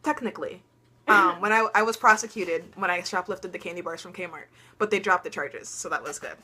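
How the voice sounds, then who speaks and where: A woman's voice: fairly low pitch, fairly quick, pushed and bright, breathy edge. A teenage girl vlogger telling funny stories in casual chat, laughing often and dropping lots of ums.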